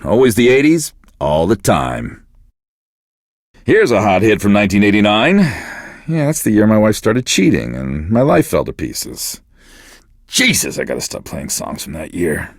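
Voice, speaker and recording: An actor playing a self-pitying, sardonic radio DJ in a video game, world-weary and deadpan. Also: male voice, fairly low pitch, no echo, clean studio sound. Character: bitter, self-pitying male radio DJ with a wrecked life. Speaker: radio DJ host. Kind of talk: scripted radio DJ patter for a game.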